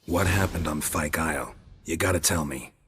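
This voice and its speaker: An actor playing a gruff, stoic monster hunter in a fantasy video game, terse and matter-of-fact with a gravelly voice. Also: male voice, extremely low pitch, moderate pace, fairly bright tone, slight background noise. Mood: probing, calm. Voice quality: gravelly, husky.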